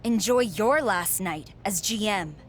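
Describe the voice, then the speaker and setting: A woman's voice: mid-range voice, animated, a little slow, a little hiss or hum, lightly acted. A woman playing a defiant, determined female pro wrestler in a video game cutscene, speaking in a firm, confrontational way.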